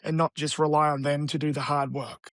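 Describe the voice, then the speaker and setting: A man, a real estate agent talking as an interview guest in an energetic, blunt, casual way. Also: fairly high pitch, fairly quick, no room sound, studio quality. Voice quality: raspy.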